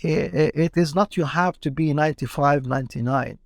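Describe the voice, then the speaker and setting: A middle-aged man from Palestine, a teacher speaking as a guest in a casual interview, halting and often repeating his words. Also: fairly high pitch, vocal fry, no room sound, clean studio sound.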